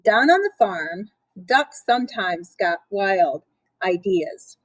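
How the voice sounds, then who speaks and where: A woman reading a picture book aloud for children in a playful, expressive way, with chatty asides. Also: mid-range voice, takes it slowly, breathy edge, no room sound.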